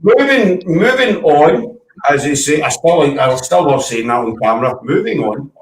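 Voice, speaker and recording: A man's voice: a little high, expressive, normal speed. A man on a casual video chat from home, rambling and joking with the others. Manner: jokey, rambling banter. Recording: echoey room, far from the mic, faint noise.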